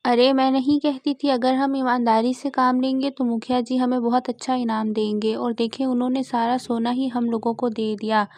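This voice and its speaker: A woman's voice: a little high, flat delivery, moderate pace, few pauses, darkish tone, no echo, close to an everyday voice. A woman voicing a farmer's honest wife in a cartoon story, firm and principled.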